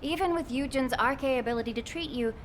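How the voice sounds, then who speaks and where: Actress doing a high voiced caring lady scientist for a video game, earnest and emotional, slight rumble behind. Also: fairly quick, lightly acted. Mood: worried, clinical. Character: anguished, caring woman scientist, protective and reproachful. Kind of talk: dramatic video game voice acting.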